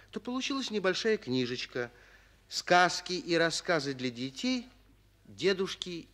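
An actor narrating a 1940s children's radio play as a kindly storyteller, expressively addressing young listeners. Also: male voice, a little high, fairly bright tone.

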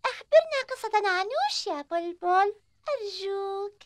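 A woman's voice: extremely high pitch, expressive, normal speed, very noisy. A woman voicing a cheerful, eager young cartoon girl. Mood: chirpy. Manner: chirpy, eager.

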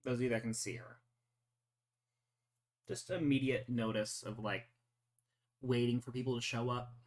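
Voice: medium pitch, fairly even intonation. Casual game master with a mid-range voice. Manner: casual, halting narration. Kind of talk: improvised narration of an online roleplay game. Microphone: voice call.

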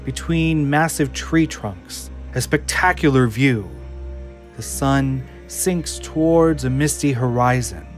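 A man's voice: medium pitch, slow, soft background music. A man reading the scene directions of an audio drama as narrator.

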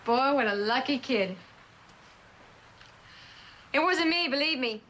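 A woman's voice: high-pitched, animated, slow pace, a bit bright, heavily acted. A young American woman playing a brash, flippant, defensive eighteen-year-old orphan girl in a vintage suspense drama, slangy and wisecracking. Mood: wry, defensive. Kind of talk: 1960s television suspense drama acting. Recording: noisy vintage TV drama soundtrack.

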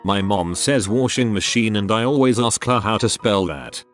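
A man's voice: on the low side, expressive, normal speed, music in the background. A man reading out short personal stories as a scripted narrator.

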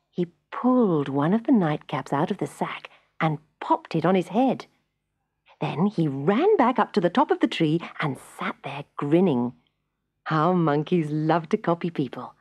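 A woman narrating a scripted children's story, measured and with dramatic emphasis. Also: lowish voice, a little mellow, husky, lo-fi sound.

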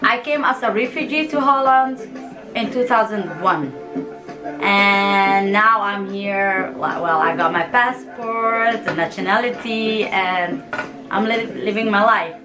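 A woman's voice: medium pitch, slow pace, low-quality recording. A woman in her thirties from Yemen and Somalia, chatting frankly and animatedly to camera as a vlogger from a small room at home. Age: 30s. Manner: frank, animated, gestures with hands. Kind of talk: casual direct-to-camera chat. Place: small home room, seated in a hanging chair. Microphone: camera in front, mid distance. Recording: music clearly under the voice. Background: music playing in the background.

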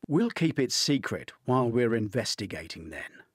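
A man's voice: mid-range voice, very animated, normal speed, husky, dry recording, studio quality. A man voicing a posh British ex-commander, formal, clipped and wry, in an audiobook thriller. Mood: sarcastic.

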